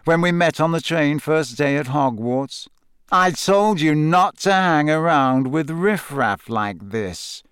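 A man voicing a smug, menacing teenage boy villain in an audiobook, speaking slowly and tauntingly. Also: on the high side, animated, smooth, no echo, clean studio sound, pitched above the performer's own voice. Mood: menacing, gloating. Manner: slow, soft, taunting. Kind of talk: audiobook character acting.

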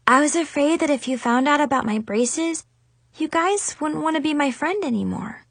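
A woman's voice: high voice, expressive, measured pace, no echo, pitched above the performer's own voice. A woman voicing a shy, anxious, insecure schoolgirl in a storybook, hesitant and sniffly. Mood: hurt, anxious.

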